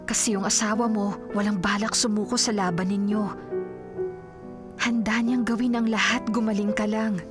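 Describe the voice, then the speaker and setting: A woman's voice: mid-range voice, fairly even intonation, moderate pace, over music, natural voice. An actress with a Filipino accent playing a devoted, self-sacrificing young woman in love in a radio drama. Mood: gentle, reassuring.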